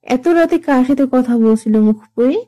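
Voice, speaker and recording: A woman playing a stern, suspicious mother in an audio story, scolding and questioning in a sharp, reproachful way. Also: high-pitched, moderate pace, no echo, lightly acted. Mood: angry, suspicious. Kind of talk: Bengali audio story acting.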